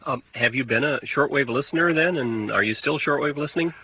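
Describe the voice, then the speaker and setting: A man's voice: medium pitch, fairly quick. A man hosting a radio magazine program and interviewing a guest. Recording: dull, slightly noisy radio recording.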